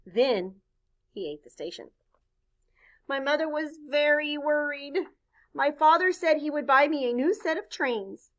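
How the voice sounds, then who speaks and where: A woman reads a picture book aloud in a bright voice that swings widely in pitch. She takes her time and speaks expressively, stepping out of the story to engage her young listeners. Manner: expressive, pauses to point things out and ask questions. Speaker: storybook reader. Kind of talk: children's read-aloud with asides to listeners.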